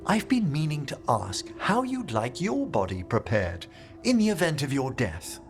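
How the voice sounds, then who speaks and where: An actor playing a courteous, eloquent and eccentric necromancer scholar in a fantasy game. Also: male voice, fairly high pitch, wildly swooping pitch, moderate pace, music in the background. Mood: genial, eager. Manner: refined, effusive, eloquent. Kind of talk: game companion dialogue acting.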